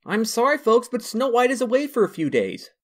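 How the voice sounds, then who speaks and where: A man's voice: very high voice, sing-song, brisk. A man voicing a harried, flustered young office assistant. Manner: flustered, exasperated.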